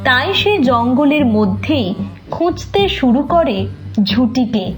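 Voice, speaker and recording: A woman's voice: high voice, big pitch swings, slow pace, soft background music. A woman narrating a scripted children's story.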